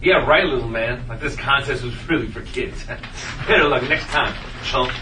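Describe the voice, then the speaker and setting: A man's voice: mid-range voice, normal speed, lightly acted. A man playing a cocky, boastful sixteen-year-old boy who brags and taunts in a comedy table read. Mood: smug. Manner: bragging, loud, taunting. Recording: distant, echoey room with loud noise.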